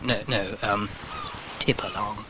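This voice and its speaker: An actor playing a flustered, bumbling, genteel British birdwatcher who stammers and fusses in a children's audio drama. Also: male voice, on the low side, steady tone, slow pace, background noise. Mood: dithering, affable. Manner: stammering, hesitant, fussy.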